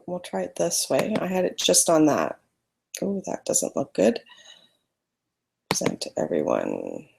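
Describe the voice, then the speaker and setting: A woman's voice: on the low side, gravelly, slight background noise. Chatty woman presenting a live webinar. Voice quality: loud voice. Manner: chatty, apologetic, talks through screen-sharing trouble. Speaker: webinar presenter teaching photography. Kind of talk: casual live webinar teaching.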